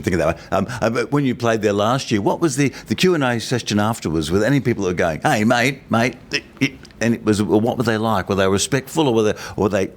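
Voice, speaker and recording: A man working as a roving podcast interviewer, chatting casually in a jokey, rambling way in a pub. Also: fairly low pitch. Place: busy pub. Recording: busy pub chatter behind.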